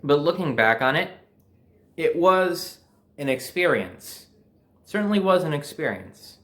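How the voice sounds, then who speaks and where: A man's voice: fairly high pitch, big pitch swings, a little slow, a bit bright. A young adult man with an American accent tells a personal story in a casual, conversational and emphatic way. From New Jersey, United States. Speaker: storyteller, host.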